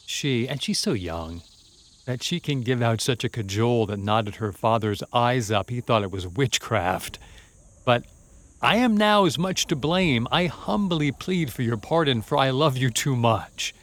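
An actor playing a scheming, manipulative male ensign in a radio play, talking in a smooth, insinuating way while feigning humility. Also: medium pitch, very animated, a little slow.

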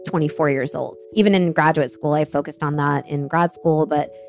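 Brief: woman, 30s, American accent, low voice, founder guest, thoughtful and candid, faint music underneath. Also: steady tone, moderate pace. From born in Dubai, raised in Bangladesh and Pakistan. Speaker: venture capital founder, guest. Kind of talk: reflective personal storytelling.

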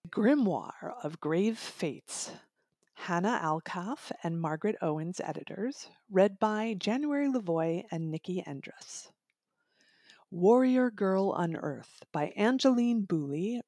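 A woman's voice: medium pitch, mellow, no room sound, studio quality. A woman hosting an interview show about audiobooks, presenting and asking questions. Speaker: interview host.

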